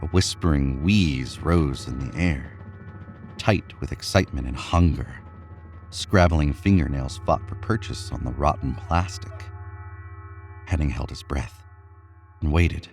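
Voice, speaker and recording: A man reading a horror story aloud in a measured, suspenseful way. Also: very deep voice, a little mellow, faint music behind. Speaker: narrator. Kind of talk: scripted horror story narration.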